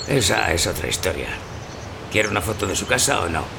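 An actor playing a gruff, self-assured photographer in his fifties in an audio drama, gravelly and terse. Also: deep voice, lively intonation, brisk, background noise, exaggerated voice. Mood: brusque. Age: 50s. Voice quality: gravelly, creaky. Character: gruff handless door-to-door photographer, man of fifty, self-assured.

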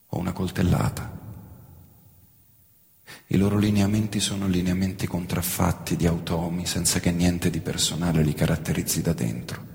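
A male stage actor delivering a grave, measured theatrical monologue on stage, dropping to a hush at times. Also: low-pitched, creaky. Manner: measured, grave declamation with hushed drops. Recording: reverberant theatre hall.

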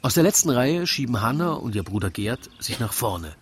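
A man's voice: mid-range voice, sing-song, normal speed, a little hiss or hum. A man with an Austrian accent narrates a radio play in scripted rhyming verse, in a wry storytelling manner.

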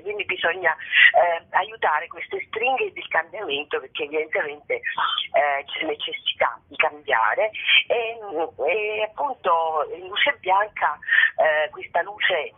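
A woman speaking haltingly as a guest in a spontaneous radio interview, searching for her words with drawn-out pauses. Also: on the low side, husky. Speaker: playwright and actress, interview guest. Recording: narrow, tinny telephone line.

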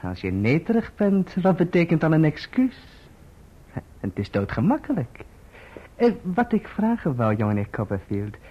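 An actor playing a scheming clerk in a radio play, obsequious and insinuating, on a dull recording. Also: male voice, a little high, huge pitch swings, moderate pace. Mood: smug, insinuating. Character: scheming, falsely humble male clerk, oily and self-satisfied.

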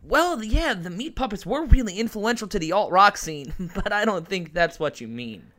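A woman's voice: mid-range voice, animated, moderate pace, a bit bright, close to an everyday voice. A woman playing a polite, easily flustered young male radio DJ who hosts an alternative-rock show. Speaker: radio host.

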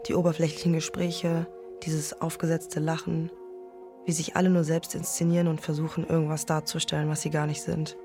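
A woman's voice: deep voice, completely flat delivery, fairly quick, warm tone, faint music behind. A woman playing a conflicted podcaster who narrates her love story in the first person, intimate and introspective. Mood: introspective, uneasy. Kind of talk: scripted first-person narration, present tense.

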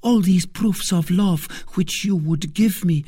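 A woman voicing a troubled queen, speaking in a hushed, breathy plea for a dramatic audiobook. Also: lowish voice, takes it slowly, no echo, studio quality. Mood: anguished. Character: troubled queen, torn and fearful.

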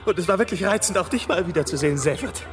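A besieged space traveller with mild manners. His voice is high and hesitant, courteous even under threat. It is a comic science-fiction radio play with music underneath. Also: fast talker. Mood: politely anxious.

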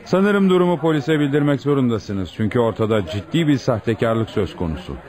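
An actor playing a cautious, courteous registry office manager in a radio drama, formal and deliberate, often hemming. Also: male voice, medium pitch, lively intonation, darkish tone, some chatter behind, natural voice. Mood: grave. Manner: hesitant hems, formal, deliberate.

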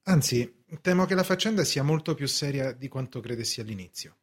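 An actor playing a timid, apologetic clerk in a radio play, hesitant and stammering, with sudden bursts of bravado. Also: male voice, medium pitch, lively intonation, a little fast, lightly acted. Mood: hesitant, uneasy. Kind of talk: theatrical radio drama acting.

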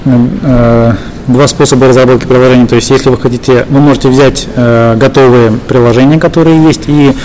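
A man's voice: medium pitch, fairly even intonation, fairly quick, hardly any pauses, darkish tone, low-quality recording. Guy doing a tech podcast interview, hesitant phrasing, medium voice, slight bg noise.

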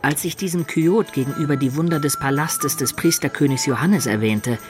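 A man's voice: fairly high pitch, moderate pace, over music, lightly acted. An actor playing a man who recounts his past adventures in a radio drama. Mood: reflective. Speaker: narrator and lead character.

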